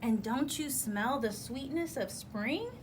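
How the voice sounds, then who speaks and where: A woman's voice: high-pitched, lively intonation, slow pace, background noise, voice pushed up. A woman voicing an eager, insistent young girl groundhog in a children's storybook. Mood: insistent, excited.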